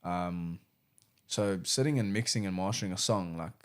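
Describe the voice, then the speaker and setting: A man's voice: low voice, smooth. A young man, a rapper chatting casually in an interview, laid-back and slangy. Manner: laid-back, slangy, says "bro" often, quick laughs. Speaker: rapper and producer guest.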